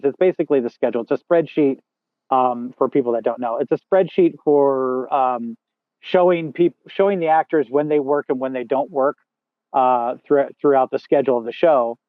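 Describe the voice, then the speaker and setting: Booming-voiced man on a tinny line. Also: dry recording. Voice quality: big loud booming voice. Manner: rambling, frequent fillers and restarts. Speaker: podcast guest, first assistant director. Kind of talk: casual interview chat. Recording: dry tinny phone-like sound.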